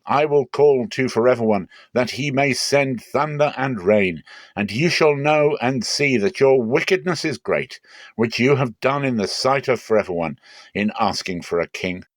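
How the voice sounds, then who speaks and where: A man's voice: mid-range voice, normal speed, no room sound, close to an everyday voice. A man voicing a stern, impassioned prophet who exhorts his people in a dramatic scripture reading. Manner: declamatory, exhorting.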